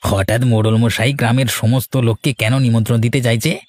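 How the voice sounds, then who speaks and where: A man playing a gossipy, easily tempted, food-loving village man in a cartoon, with a slightly husky, exclamatory delivery. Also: medium pitch, fairly even intonation, fairly quick, darkish tone, no echo, natural voice. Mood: reluctant. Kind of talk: Bengali cartoon voice acting. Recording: music bed under the voice.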